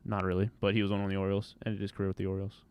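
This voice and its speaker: Clear-voiced American man, close and dry. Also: sits low, monotone, very fast, darkish tone, dry recording. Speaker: radio show co-host. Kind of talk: casual sports talk, trivia and schedule rundown.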